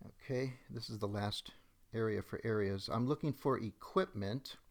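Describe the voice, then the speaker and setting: Slow-talking man narrating a demo. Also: mid-range voice. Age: middle-aged. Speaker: software demonstrator. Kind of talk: step-by-step software demo narration.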